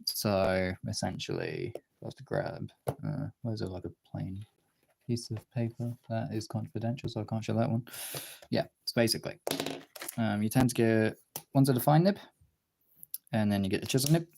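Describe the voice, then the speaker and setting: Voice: medium pitch, expressive, moderate pace, creaky, a little mellow, slight background noise. A livestream host with a congested, tired voice, chatting casually and informally to viewers while drawing, rambling and swearing now and then.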